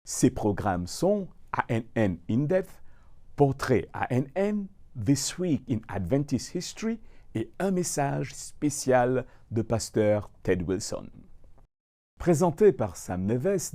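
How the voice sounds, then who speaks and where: A male narrator reads the news with plenty of movement in his pitch. His tone is mellow rather than bright. The delivery is polished and scripted. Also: sing-song, takes it slowly.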